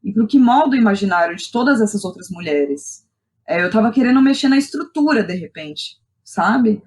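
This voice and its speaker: A young Brazilian woman speaking as a podcast guest, telling personal stories in an animated, colloquial way and often checking in with the listener. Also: mid-range voice, normal speed. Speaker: guest, playwright and theatre director. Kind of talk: casual personal storytelling in a podcast interview.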